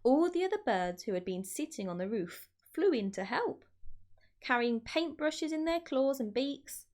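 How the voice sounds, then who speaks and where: A woman reading aloud to children as an expressive storyteller, speaking directly to her young listeners. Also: high-pitched, a little slow. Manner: addresses child listeners with questions.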